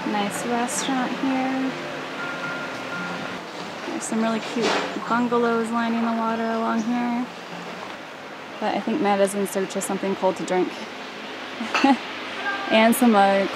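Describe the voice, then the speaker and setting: A woman in her thirties talks in a casual, chatty vlog style. She is outdoors by the water, and loud ambient noise from water and boats runs behind her informal speech. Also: a little high, steady tone, measured pace, long pauses. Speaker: vlogger, host. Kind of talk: casual travel vlog chat to camera.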